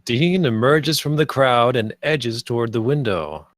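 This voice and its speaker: A man's voice: medium pitch, animated, measured pace, dry recording, clean studio sound. A man reading stage directions aloud as scripted screenplay narration.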